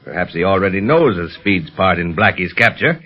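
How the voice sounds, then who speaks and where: A lowish, steady voice of a calm, commanding police chief, laying out plans with measured authority. The sound is dull and lo-fi, like an old radio serial. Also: male voice, slightly rough, soft background music, full character voice. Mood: firm. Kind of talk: 1930s radio acting.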